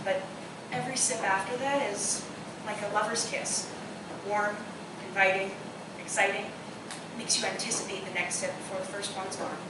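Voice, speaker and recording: A woman's voice: medium pitch, fairly even intonation, moderate pace, natural voice. Woman acting witty single woman, very echoey, distant. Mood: savoring, explaining. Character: witty, opinionated single woman who loves bourbon. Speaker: stage actor. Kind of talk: live radio-play dialogue before a microphone. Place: live theatre performance space. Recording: echoey, noisy live recording from far off.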